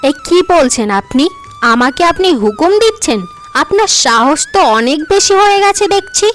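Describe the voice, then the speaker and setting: A woman voicing a vain, bossy young wife in a cartoon, sneering and shrill. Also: sing-song, normal speed, faint music behind. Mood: haughty.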